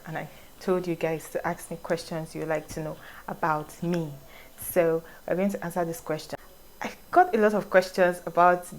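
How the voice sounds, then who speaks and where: A young Nigerian woman talks casually to her vlog audience, with a low, deep voice for a woman. She reads each question aloud and then answers in a relaxed, wandering way. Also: a little hiss or hum, low-quality recording. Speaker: vlogger.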